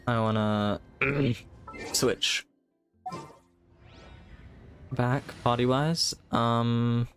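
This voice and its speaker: A man's voice: medium pitch, fairly even intonation, drawn-out words, fairly bright tone. A man doing casual live game commentary, muttering short playful reactions as he plays. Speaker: video game streamer. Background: game music.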